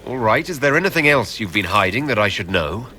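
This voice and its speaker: Forceful, commanding fantasy leader. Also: male voice, on the low side, very animated, fairly quick, a little hiss or hum. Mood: stern. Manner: formal, direct. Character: commanding, resolute male leader of a fantasy order. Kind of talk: video game dialogue acting.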